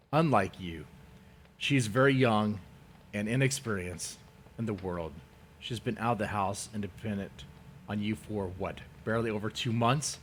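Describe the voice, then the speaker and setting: A man giving a dramatic reading in a theatrical, scornful manner, leaning on words with deliberate, drawn-out emphasis. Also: on the low side, a little hiss or hum. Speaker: reader of an online post.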